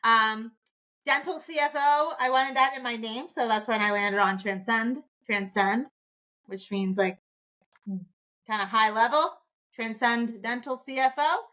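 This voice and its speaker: A woman guest on a podcast interview, chatting casually in a loud, lively way and laughing often. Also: on the high side, measured pace. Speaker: podcast guest, dental finance advisor.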